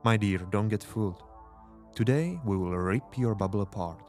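Man acting as low-voiced, earnest rebel radio host. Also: normal speed, a little mellow, faint music behind, close to an everyday voice. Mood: solemn, defiant. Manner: solemn, rallying, addresses listener intimately. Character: Nemo, earnest male rebel radio host in dystopian Paris. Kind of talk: dramatic scripted radio monologue and hosting.

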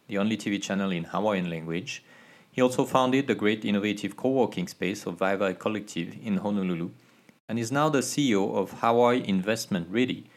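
Formal, welcoming male podcast host. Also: on the low side. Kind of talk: podcast interview.

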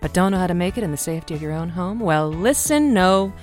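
A woman's voice: on the low side, lively intonation, fast, a little mellow, soft background music, lightly acted. A woman playing a blunt, sassy radio advice show host in an audio drama, with a theatrical, sardonic, wordy delivery. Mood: flirty showmanship. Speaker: call-in radio host character.

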